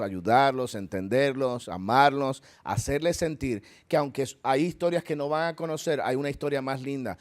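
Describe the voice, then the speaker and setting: A man with a Puerto Rican accent preaches in a church hall, speaking earnestly to his congregation with friendly asides. His voice sits in an ordinary middle register, and the recording is dry, with little room sound. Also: medium pitch. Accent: Puerto Rican Spanish. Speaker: pastor. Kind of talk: church talk and closing prayer.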